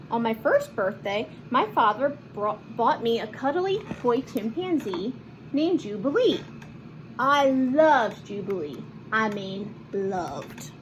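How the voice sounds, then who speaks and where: A woman reading a picture book aloud to children in an expressive, animated manner, with chatty asides and simple explanations. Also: fairly high pitch, background noise. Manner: animated, acts out lines and explains words to children. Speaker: children's read-aloud host.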